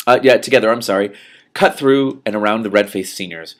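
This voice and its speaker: A man reading a novel aloud as host, in an expressive style with emphatic phrasing and off-the-cuff commentary. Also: lowish voice, brisk. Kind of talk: expressive audiobook-style reading with spontaneous reactions.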